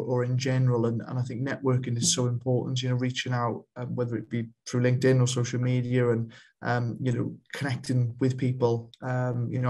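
A man's voice: mid-range voice, darkish tone. A man in his thirties answering interview questions on a webinar video call, hesitant with many fillers and restarts. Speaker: guest, equality and inclusion lead at a football association. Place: home room on a video call.

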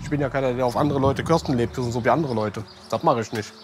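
A middle-aged man on a balcony speaking haltingly, repeating words as he searches for them. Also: medium pitch. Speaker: interviewee. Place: apartment balcony outdoors. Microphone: clip-on mic.

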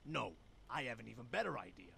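A man's voice: mid-range voice, sing-song, quick pace, gravelly, bright, forceful tone, heavily acted. A man voicing a scheming, cheerful small animal salesman with persuasive patter in a cartoon adventure game. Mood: scheming, upbeat.

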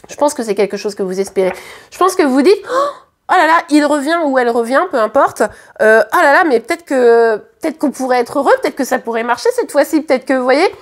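A hoarse woman with a French accent, doing a casual tarot reading to camera in a chatty, hesitant way with many fillers and restarts. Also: high-pitched, expressive, fast. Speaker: tarot card reader. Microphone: camera at the reading table.

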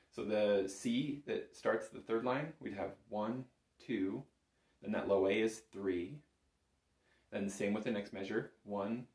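A man's voice: fairly low pitch, a little mellow. A man giving a casual, step-by-step music lesson as an instructor, counting beats and checking in as he goes. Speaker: bagpipe teacher. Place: small indoor room full of instruments. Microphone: camera a short distance in front. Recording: roomy echo from camera mic.

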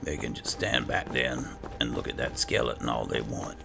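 A man with a gravelly voice giving casual roleplay commentary as he plays a video game. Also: rumbling low, fairly even intonation, moderate pace, music in the background. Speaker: gamer, roleplaying host.

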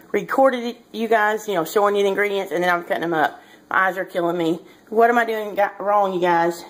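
Chatty woman vlogging at home. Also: mid-range voice. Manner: chatty, rambling, addressing viewers informally. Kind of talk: casual vlog chat to viewers. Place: home kitchen, indoors. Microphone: handheld camera or phone at arm's length.

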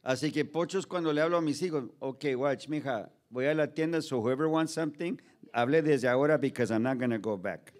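Un pastor de unos cincuenta años, nacido en Los Ángeles, con acento mexicano-estadounidense, predica con humor en un escenario, con micrófono de pie, y cuenta historias imitando diálogos y mezclando frases en inglés. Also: male voice, a little high, a little fast, a little mellow. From Los Angeles, United States. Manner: storytelling with acted dialogue, mixing English phrases. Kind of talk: humorous sermon to a children's ministry. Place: stage in a large indoor hall. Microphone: stand microphone.

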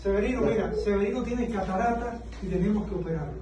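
A man working in an optical shop, giving brisk, playful instructions to a customer. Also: high-pitched, a loud crowd. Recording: echoey shop, far from the mic.

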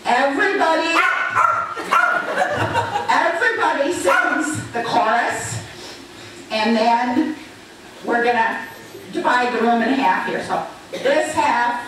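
A middle-aged woman giving informal, encouraging instructions to an audience as a stage performer, speaking into a handheld microphone. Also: fairly high pitch. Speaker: talent show performer leading audience participation. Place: large church hall, indoors. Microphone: handheld microphone through PA, recorded by far camera. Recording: echoey hall, distant, noisy audience. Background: audience chatter and noise.

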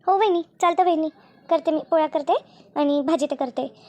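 Cartoon family drama, woman acting young daughter-in-law, high pitch, anxious, deferential, colloquial. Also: fairly quick, a little mellow, no echo, dull audio, natural voice.

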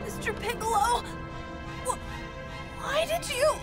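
A woman's voice: very high-pitched, slow pace, loud music behind the voice. A woman playing a small, brave but frightened boy in an anime game, childlike and urgent. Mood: distraught. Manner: earnest, urgent. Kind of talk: English anime game dub acting.

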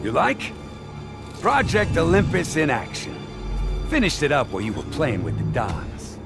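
An actor playing a smug, menacing middle-aged mob boss in a video game, with a gravelly, gloating delivery. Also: male voice, on the high side, huge pitch swings, heavily acted. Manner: slow, deliberate, gloating. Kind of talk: video game villain acting. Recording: dramatic ominous music underneath.

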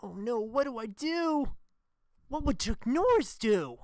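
A woman voicing a panicky, naive teenage martial arts student, whiny and excitable, in an amateur anime parody dub. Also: on the high side, a little slow. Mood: panicked.

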